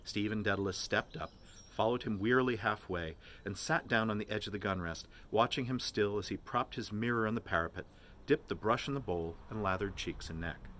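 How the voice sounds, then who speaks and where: A man reading literary prose aloud as an expressive narrator. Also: lowish voice, brisk, slight background noise. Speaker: volunteer reader of a novel.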